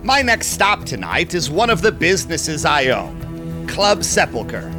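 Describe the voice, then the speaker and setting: A man's voice: fairly high pitch, wildly swooping pitch, a little slow, over music, full character voice. An actor playing a shady, smug vampire businessman who owns a club, with suave, theatrical delivery in an audio drama. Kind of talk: audio drama narration and dialogue.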